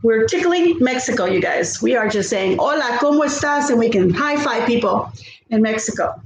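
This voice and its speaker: A woman's voice: a little high, expressive, moderate pace, reverberant, slight background noise, low-quality recording. A woman in her fifties from South Texas with a Texan accent, chatting on a live cooking stream, rambling in a friendly way. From South Texas, USA. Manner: rambling, friendly, often laughs and trails off. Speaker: cooking vlogger on a livestream. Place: home kitchen.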